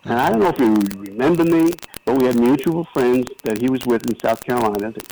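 A man's voice: mid-range voice, lively intonation, quick pace, mellow. An older American man reminiscing in a casual, rambling and earnest way as an interview guest over the telephone. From New York City, United States. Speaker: retired basketball coach, interview guest. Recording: noisy phone interview with clicks.

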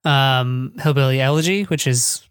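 American writer being interviewed, mid pitched voice, rambly and halting, lots of hedging, really dry recording. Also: clear, resonant tone, dry recording. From northwest Ohio, United States. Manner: halting, discursive, thinking aloud with frequent hedging. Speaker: guest author of a memoir. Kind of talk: reflective podcast interview talk.

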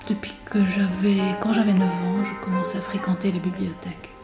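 A woman interviewee recalling things in a halting, repetitive way as she searches for words. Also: medium pitch, little pitch movement. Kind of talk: halting spoken recollection. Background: guitar music.

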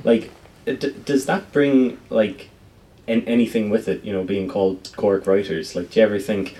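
Male podcast host, low voice, halting questions, a bit far from the mic. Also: steady tone, dark tone. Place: bookshop event.

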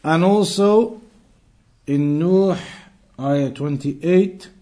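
A man giving an Islamic lesson as a teacher, reciting texts and explaining them phrase by phrase in a deliberate, repetitive way. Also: on the high side, warm tone. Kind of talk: religious lesson, reciting Arabic texts then translating phrase by phrase.

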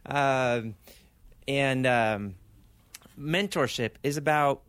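A man raised in Argentina, a pastor, talking casually as a radio interview guest in short phrases with pauses between them. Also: medium pitch, a bit bright, smooth, dry recording. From born in California, raised in Argentina. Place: radio studio. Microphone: close studio microphone.

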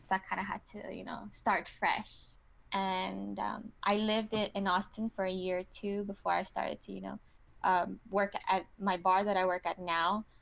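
A woman's voice: mid-range voice, flat delivery, normal speed, creaky, a bit bright, no room sound. Chatty young woman from Kazakhstan. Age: 20s. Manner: chatty, giggly, many fillers like "you know". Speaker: interview guest, model. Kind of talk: casual interview answers.

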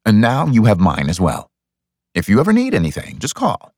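A man's voice: lowish voice, huge pitch swings, moderate pace, a little mellow, breathy edge, no echo, studio quality, full character voice. A man voicing a confident, teasing bachelor club owner in an audiobook story. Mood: flirtatious, teasing.

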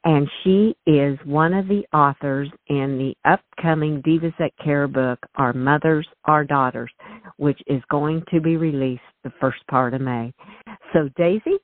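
A woman's voice: low voice, lively intonation, measured pace, dry recording. Effusive woman hosting over the phone. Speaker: host. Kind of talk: radio show hosting. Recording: tinny phone line, dry.

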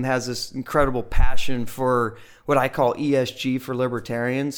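Blunt, informal man with a smooth voice. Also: mid-range voice. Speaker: guest, firm CEO. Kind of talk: casual interview answers.

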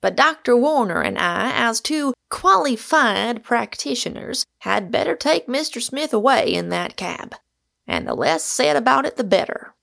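A woman's voice: on the high side, expressive, unhurried, creaky, a bit bright, dry recording, clean studio sound, pitched above the performer's own voice, exaggerated voice. A woman reading the lines of a vain, pedantic American doctor, earnest and soothing. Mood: reassuring. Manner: earnest, soothing, slightly pompous.

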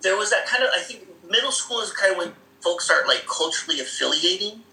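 A man's voice: sits high, expressive, fairly quick, bright, forceful tone, roomy sound, low-quality recording. A man casually telling stories about growing up, rambling with many fillers and frequent laughs. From Seattle area. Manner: rambling, many fillers, laughs often, acts out quoted voices. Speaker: guest. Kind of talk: casual conversational storytelling.

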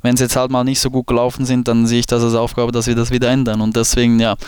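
A man in his late twenties from Switzerland with a Swiss German accent, a guest talking casually in a podcast interview and pausing often with fillers and restarts. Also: medium pitch, monotone, a little mellow, smooth, dry recording. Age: 20s. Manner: hesitant, many fillers and restarts. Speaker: football goalkeeper guest.

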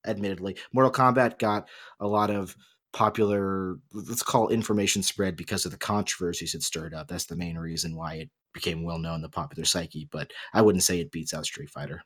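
A man's voice: sits low, steady tone, a little fast, a bit bright. A man co-hosting a casual discussion, explaining his opinions emphatically. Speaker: podcast co-host.

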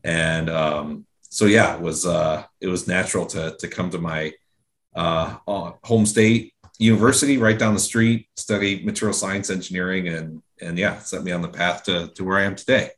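Rambling low-voiced American man. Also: steady tone, moderate pace, fairly bright tone. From Central Ohio, US. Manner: rambling, many fillers and restarts. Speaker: guest, engineering director. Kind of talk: casual interview talk.

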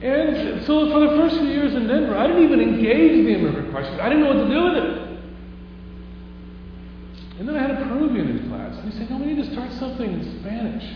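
A man's voice: very high voice, lively intonation, fast talker, few pauses. A man from Houston giving a conversational chapel talk to a live audience, telling stories and rising to emphatic questions. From Houston, Texas. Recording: echoey hall from far off, dull with hum.

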